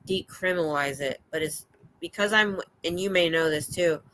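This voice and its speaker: An older American woman hosting a livestream, chatting in a rambling, excitable way. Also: on the low side, slight background noise, rough recording. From United States. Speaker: livestream host. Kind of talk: casual chat. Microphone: streaming setup.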